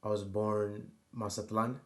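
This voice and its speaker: A man with a low, level voice muses aloud in a loose, unscripted monologue. He pauses often and leans on "you know" and "right?" to keep his thoughts moving. The delivery stays even and unhurried, with little rise or fall. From United States. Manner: halting, filler-heavy, keeps adding "you know" and "right?". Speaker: vlogger. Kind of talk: rambling personal monologue.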